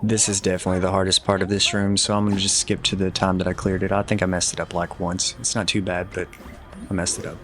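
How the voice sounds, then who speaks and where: A young man giving relaxed, instructive commentary over a game walkthrough, easygoing and a little self-deprecating. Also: low voice, fast, soft background music. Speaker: gameplay guide commentator.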